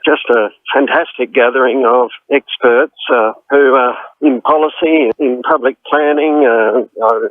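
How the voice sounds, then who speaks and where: A male professor speaks in an interview clip, hesitant and full of fillers. His voice sits at a middle pitch. It comes through a tinny phone line. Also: steady tone, a little slow, few pauses.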